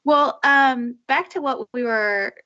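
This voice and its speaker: A woman's voice: sits high, slow, pushed and bright, dry recording. Chatty woman co-host, lo-fi sound. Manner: friendly, rambling questions with fillers. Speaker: podcast co-host. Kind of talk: casual interview chat. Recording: dull lo-fi sound.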